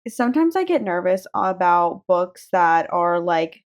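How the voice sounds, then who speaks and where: A woman's voice: on the low side, sing-song, a little slow. A woman co-hosting a casual podcast, chatting in an informal, effusive way. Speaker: podcast co-host. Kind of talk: casual book chat.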